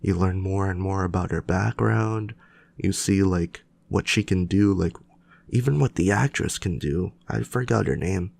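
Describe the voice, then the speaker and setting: Low gravelly man with a stuffy nose. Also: a little slow, a little mellow. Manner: rambling, many fillers and restarts. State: sick with a cold. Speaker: podcast host. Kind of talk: casual solo podcast chat.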